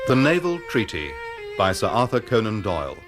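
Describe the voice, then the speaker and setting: A fairly low man announces drama credits formally. His intonation is lively, and music plays clearly behind him. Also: moderate pace.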